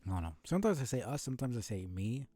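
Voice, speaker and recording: A man streaming a video game with casual commentary, musing and thinking aloud in a low-key way. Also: very animated, quick pace, dark tone.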